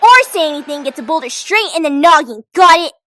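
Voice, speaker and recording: A woman playing a brash, boastful young girl fighter in an animated comic dub, bossy and blunt. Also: high voice, fairly quick. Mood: brash, threatening.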